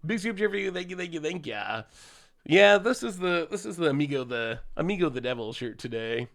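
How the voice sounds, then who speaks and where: A man's voice: a little high, lively intonation, a little fast, creaky, a bit bright. A man streaming a card game and chatting casually with his viewers, a little congested from a cold, laughing often as he plays. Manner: chatty, laughs often, thanks chat subscribers. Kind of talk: casual live-stream commentary while playing.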